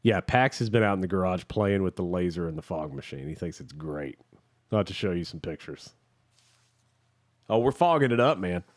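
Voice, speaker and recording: A man co-hosting a podcast in casual chat, rambling and halting as he searches for words. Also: sits low, animated. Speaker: podcast co-host.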